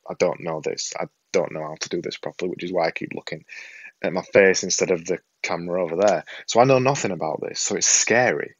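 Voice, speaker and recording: A man with a Yorkshire accent speaks straight to the camera in a small home room, earnest and self-deprecating, circling back over his own words. His voice sits a little low and sounds close and dry, with a slightly dull, muffled edge to the recording. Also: dry recording. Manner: earnest, self-deprecating, repeats himself for emphasis. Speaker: vlogger and podcaster. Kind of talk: casual talk to camera. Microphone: desktop setup with a camera and a monitor.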